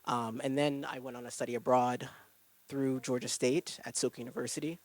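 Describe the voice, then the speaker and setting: A man speaking as a panelist at an event, relaxed and wry as he tells his story. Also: mid-range voice, moderate pace. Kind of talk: answering on a panel. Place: event room with audience.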